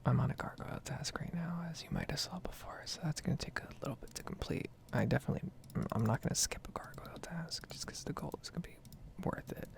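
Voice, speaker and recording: A woman speaking in a breathy whisper, rambling casually with some swearing in an ASMR gaming video. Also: sits low, fast.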